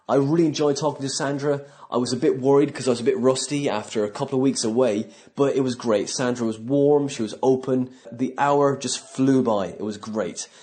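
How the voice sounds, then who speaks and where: A man's voice: mid-range voice, a little mellow. A man hosting a podcast, talking in a casual, conversational way. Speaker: podcast host, stand-up comedian. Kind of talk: casual podcast interview and sign-off.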